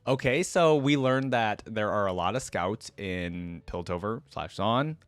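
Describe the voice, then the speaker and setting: A man doing casual reaction commentary as a video host, reading aloud and thinking out loud in a conversational way. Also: fairly low pitch, expressive, unhurried, smooth, no room sound.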